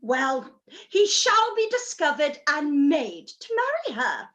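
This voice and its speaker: Shrill, wailing hysterical mother. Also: sing-song, slow, a bit bright, heavily acted. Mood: indignant self-pity. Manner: wailing, gasping, theatrical. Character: hysterical middle-aged mother, melodramatic and self-pitying. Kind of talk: live-read period radio play.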